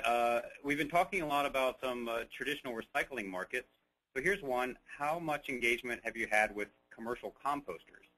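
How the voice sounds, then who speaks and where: A man's voice: medium pitch, pushed and bright, poor audio. A man moderating a webinar in a casual, chatty and encouraging way, reading out questions from the audience.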